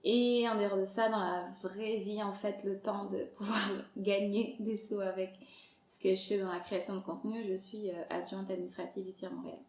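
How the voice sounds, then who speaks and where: Podcast guest, woman in her thirties from Angola, Portuguese native speaker, animated and laughing, recorded in a reverberant room. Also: mid-range voice, brisk. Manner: lively, laughs often, many fillers. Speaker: podcast guest, content creator. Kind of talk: casual interview chat. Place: Montreal. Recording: echoey room.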